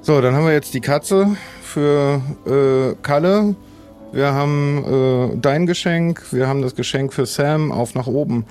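A man's voice: fairly high pitch, a little slow, darkish tone, faint music behind, close to an everyday voice. A man playing a polite, practical, slightly scatterbrained pirate in an improvised audio drama, casual in manner.

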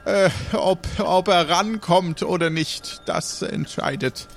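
A quirky old pirate instructor, played by a man, haggles with mock dignity in an improvised audio adventure. The voice sits high for a man and moves slowly, hesitant and rambling. Light music plays underneath. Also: gravelly, full character voice. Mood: evasive, haggling. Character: quirky, self-important old pirate instructor haggling over his sabre.

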